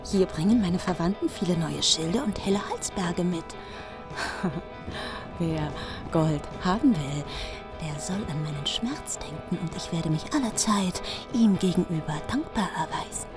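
A woman's voice: fairly low pitch, lively intonation, unhurried. An actress playing a grieving, vengeful medieval queen in a radio drama, speaking bitterly and brooding. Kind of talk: German radio play acting. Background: music.

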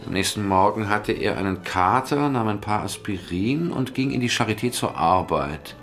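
A man's voice: on the low side, lively intonation, moderate pace, fairly bright tone, soft background music. A man acting in a German radio drama as a level-headed private investigator who reasons aloud methodically. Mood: thoughtful, analytical.

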